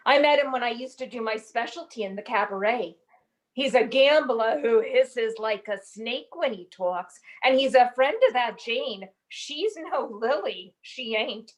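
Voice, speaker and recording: A woman's voice: on the high side, expressive, measured pace. A woman playing a melodramatic, lovesick young chorus girl with slangy, tearful theatrics in an old-time comic radio play. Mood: gossipy.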